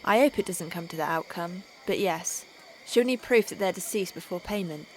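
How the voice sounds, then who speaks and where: A woman's voice: on the low side, moderate pace, slight background noise, close to an everyday voice, low-quality recording. Woman as businesslike half-elf scout. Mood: serious. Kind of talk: fantasy audio drama acting.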